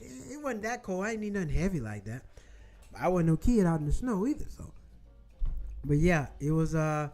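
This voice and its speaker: A rambling, salty host from Florida who chats casually with viewers, drifting from one aside to the next. The voice sits at an ordinary middle pitch, with soft music playing faintly underneath. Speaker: show host.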